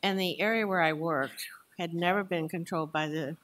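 An older woman answering a question on a panel in a measured, thoughtful way, speaking into a table mic in a large lecture hall. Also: fairly low pitch, steady tone, a bit bright. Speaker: veteran panelist.

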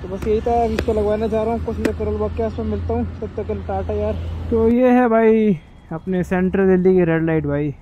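A young man talks in a high-pitched voice. He chats informally, like a motovlogger addressing his buddies, with some traffic noise around him. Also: brisk, dark tone. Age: 20s. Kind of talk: casual chat to camera. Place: on a motorbike in city streets, later inside a small helmet shop. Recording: noisy street and traffic sound. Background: traffic and vehicle noise.

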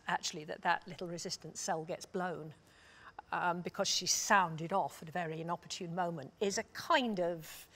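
A British woman in her sixties speaking thoughtfully on a discussion panel, with a measured, wry, reflective manner. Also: lowish voice, fairly bright tone. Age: 60s. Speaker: panelist, drama scholar. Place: stage panel before dark curtains, indoors.

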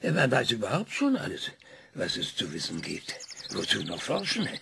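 A man's voice: on the low side, normal speed, creaky, background noise, heavily acted. A man playing an aging, bitter and ironic scientist in a radio drama, speaking in a sardonic tone. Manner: sardonic, lively intonation. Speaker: scientist being interviewed.

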